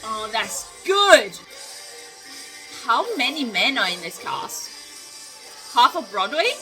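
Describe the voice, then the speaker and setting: A woman's voice: fairly high pitch, big pitch swings, slow pace, sharp tone, faint music behind, low-quality recording. A woman hosting a reaction video, chatting casually, breaking down what she hears and often laughing. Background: faint musical soundtrack playing.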